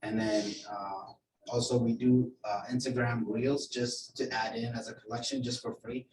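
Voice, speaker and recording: A man's voice: medium pitch, little pitch movement, moderate pace, darkish tone. Ethiopian-born man, hesitant, echoey room. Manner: hesitant, many fillers. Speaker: guest photographer. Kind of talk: informal self-introduction and pitch. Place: office meeting room. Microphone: room camera mic from a distance. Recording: echoey room, distant mic, faint hum.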